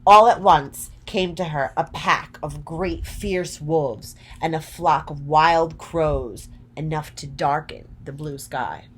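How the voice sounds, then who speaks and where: A woman narrating a children's story from a script, deliberately, phrase by phrase. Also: sits low, slightly rough.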